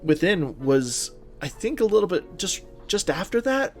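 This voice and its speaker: A man co-hosting a podcast, chatting casually and a little haltingly, often feeling his way through his thoughts. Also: sits high, very animated, faint music behind. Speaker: podcast co-host. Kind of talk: casual chat about movies and video games.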